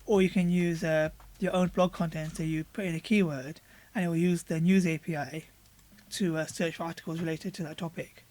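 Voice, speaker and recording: Hoarse man walking through software. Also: fairly high pitch, fairly quick. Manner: relaxed, conversational, with frequent fillers. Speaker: product reviewer. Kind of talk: screen-recorded software walkthrough.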